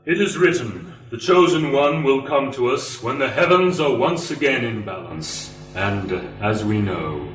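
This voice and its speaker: A man playing a calm, devout cult elder who speaks portentously in an amateur sci-fi radio play. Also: medium pitch, measured pace, hardly any pauses, soft background music. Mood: solemn faith. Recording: echoey, distant from the mic.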